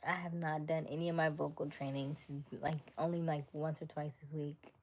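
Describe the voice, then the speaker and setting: A woman hosting a casual live stream, chatting playfully with viewers, laughing often and dropping to a soft whisper as she reads comments. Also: sits low, little pitch movement, normal speed, slight background noise. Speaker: livestream host.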